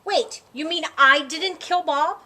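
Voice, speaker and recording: A woman voicing an excitable, mischievous schoolgirl in a storybook, dramatic and exclamatory. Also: high-pitched, takes it slowly, full character voice. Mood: surprised.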